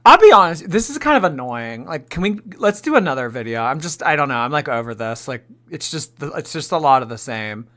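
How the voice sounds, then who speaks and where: Bright-voiced chatty woman streamer. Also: sits low, sing-song, brisk, hardly any pauses. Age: late 20s. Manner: chatty, teasing, swings from loud to mumbled. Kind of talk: livestream reaction chat.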